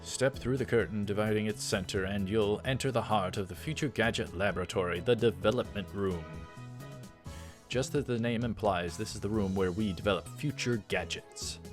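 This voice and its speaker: A man's voice: fairly low pitch, moderate pace, over music, close to an everyday voice. A man reading a visual novel aloud, voicing a grandiose young mad scientist in a pompous, theatrical manner. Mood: proud, declaiming.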